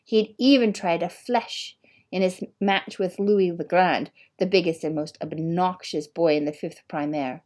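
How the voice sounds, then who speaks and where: A woman's voice: lowish voice, fairly even intonation, measured pace, darkish tone. Woman reading a children's story. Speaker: audiobook reader. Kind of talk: expressive storybook narration.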